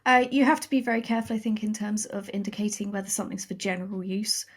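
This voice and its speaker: A woman, a podcast guest and writer, explaining in lively, quick bursts. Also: mid-range voice, slightly rough. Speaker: guest, audio drama writer. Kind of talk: casual podcast conversation.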